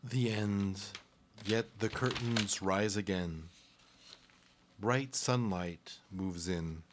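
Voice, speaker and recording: Over a slightly noisy recording, a man with a deep voice reads stage directions very slowly. It is scripted narration for a radio play.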